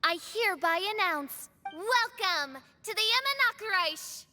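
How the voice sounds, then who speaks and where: A woman's voice: extremely high pitch, animated, a little slow, long reverb tail, over loud music. A woman voicing a theatrical teenage self-styled princess in a video game, speaking in a grandiose, archaic, declamatory way. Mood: regal, triumphant. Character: theatrical teenage girl styling herself a fantasy princess. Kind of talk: video game character acting.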